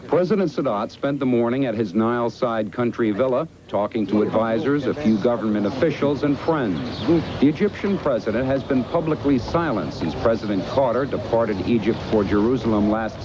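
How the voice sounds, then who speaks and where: A man reports as a foreign correspondent in a medium-pitched voice. He reads a scripted narration. Loud background noise covers the field recording.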